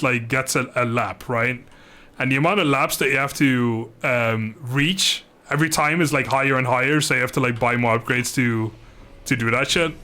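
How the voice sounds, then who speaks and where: A man's voice: medium pitch, sharp tone. A man giving casual, rambling, self-deprecating commentary as he livestreams a video game. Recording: music playing behind the voice.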